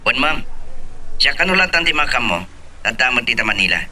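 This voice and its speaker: A man's voice: medium pitch, sing-song, a little fast, bright, forceful tone, heavily acted. A man playing a polite, deferential male office employee in an Ilocano radio drama.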